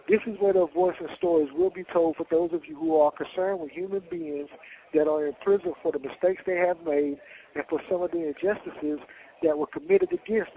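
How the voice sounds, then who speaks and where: High gravelly man on a tinny phone line. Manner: formal, solemn, activist phrasing. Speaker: host and founder of a prison interview show. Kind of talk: scripted intro then interviewing. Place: prison. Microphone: prison phone call.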